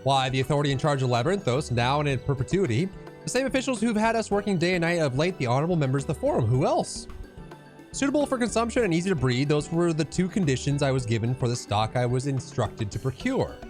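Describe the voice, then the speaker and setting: A man's voice: fairly high pitch, animated, quick pace, a little mellow, faint music behind, lightly acted. A man voicing a dutiful, weary animal wrangler from a fantasy game in a plain-spoken, wry way.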